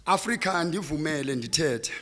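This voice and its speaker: A man's voice: a little high, animated, moderate pace. A man with a Xhosa accent performing declamatory, rhythmic spoken-word poetry on stage, impassioned and dramatic. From South Africa. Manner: impassioned, dramatic, swelling from hushed to loud. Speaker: slam poet performing on stage. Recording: live stage performance, reverberant hall.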